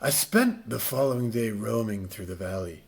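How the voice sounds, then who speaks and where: A man giving a mock-dramatic reading, with overwrought theatrical delivery that swings between hushed and loud. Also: lowish voice, normal speed, vocal fry.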